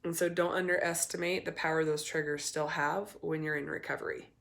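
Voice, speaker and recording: A young adult woman, hoarse and raspy from a cold, talking candidly to camera as a recovery coach, with many ums and restarts. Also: low-pitched, level tone, fairly quick, fairly bright tone. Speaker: eating disorder recovery coach. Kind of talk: casual talk to camera.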